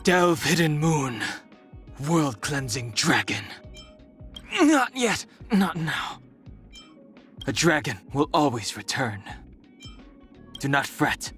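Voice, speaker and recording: A man voicing a stoic, reserved young dragon-descended warrior in a video game, calm, formal and solemn, burdened by his past. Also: on the high side, slow, long pauses, faint music behind, heavily acted. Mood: intense, commanding. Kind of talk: video game character voice lines.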